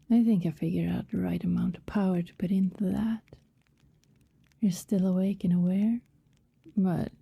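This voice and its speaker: A woman playing an affectionate, wistful vampire neighbour in an intimate ASMR roleplay, speaking softly and tenderly, often in a hesitant whisper. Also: mid-range voice, no echo, heavily acted. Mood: tender, pleased. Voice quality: soft, breathy, often whispered. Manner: slow, tender, hesitant murmuring.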